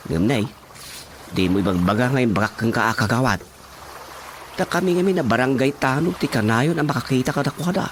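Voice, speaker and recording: A man's voice: medium pitch, animated, a little fast, lightly acted. A man with an Ilocano accent playing a sheepish, hesitant villager in a radio drama comedy. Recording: faint noisy ambience behind.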